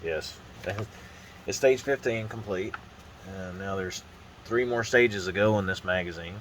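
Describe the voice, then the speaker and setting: A man's voice: low-pitched, unhurried, slight background noise. A man with a stuffy nose from a sinus infection, casually talking through a model build at a table, with frequent uh's and restarts. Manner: rambling, relaxed, frequent uh and restarts. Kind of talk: casual hands-on build commentary. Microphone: phone on a holder arm.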